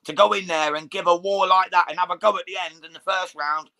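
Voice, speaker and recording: A man's voice: high-pitched, fairly even intonation, fast, sharp tone. A man co-hosting live boxing commentary in a casual, blokey, emphatic way.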